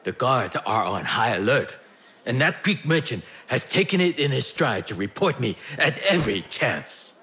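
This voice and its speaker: Game role: wily old tea trader, bright, swooping delivery, theatrical and teasing. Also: male voice, medium pitch, very animated, normal speed, husky, full character voice. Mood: wry, grumbling. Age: older. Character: lively old Chinese tea merchant, wily and affectionate. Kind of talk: video game character acting.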